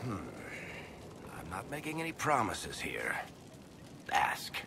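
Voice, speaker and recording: An actor playing a wry, guilt-ridden dwarf storyteller in a video game, blunt and sardonic but hesitant when pressed. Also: male voice, on the low side, wildly swooping pitch, slow pace, gravelly, noisy background, exaggerated voice. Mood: wry, evasive.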